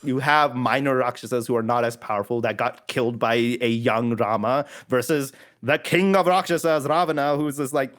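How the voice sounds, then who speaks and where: A man's voice: mid-range voice, steady tone, normal speed, hardly any pauses, fairly bright tone. Rambling laughing man on a podcast. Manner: rambling, restarts, laughs often. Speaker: podcast co-host. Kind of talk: casual chat about tabletop games.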